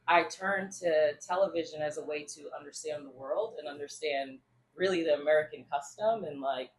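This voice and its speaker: A woman's voice: sits low, normal speed, slight background noise, poor audio. A young woman from New York talks as a guest on a casual podcast. She tells her story in a self-assured way. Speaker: guest TV writer.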